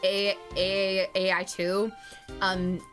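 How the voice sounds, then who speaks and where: A young woman streamer chatting during a game, giggly and loose with the swearing. Her voice sits in an average middle pitch, with faint music behind. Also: level tone, drawn-out words, a bit bright. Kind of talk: casual chat.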